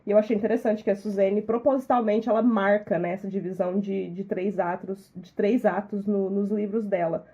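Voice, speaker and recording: A woman's voice: mid-range voice, steady tone, a little fast, few pauses, breathy edge, highs cut off. A woman from Minas Gerais with a Brazilian accent, hosting a podcast and explaining at length, repeating small words as she thinks. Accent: Brazilian Portuguese. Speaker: podcast host. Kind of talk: casual podcast chat.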